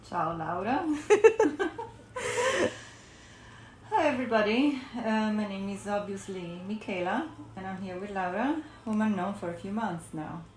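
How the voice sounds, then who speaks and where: A woman's voice: medium pitch, animated, a little slow, pauses often, faint music behind. Wry Italian-accented woman in an echoey room. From Italy. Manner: wry, joking. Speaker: podcast guest. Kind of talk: casual chat. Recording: roomy echo, faint background noise.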